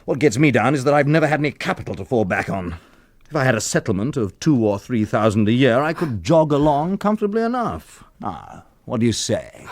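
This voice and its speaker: An actor playing a cocky, drunken blackmailer in a radio drama, with a taunting, familiar, insinuating manner. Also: male voice, mid-range voice, big pitch swings, brisk. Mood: sly, wheedling.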